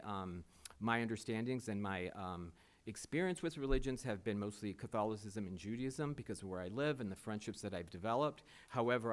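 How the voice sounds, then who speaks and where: A man interviewing for a superintendent job, answering in an earnest, rambling way with many fillers and restarts. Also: lowish voice. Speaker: superintendent candidate. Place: school board meeting room.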